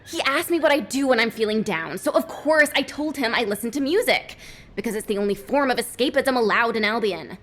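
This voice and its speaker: A woman's voice: a little high, animated, brisk, a bit bright. An actress playing a restless, emotional young woman in a video game cutscene, exasperated and rambling.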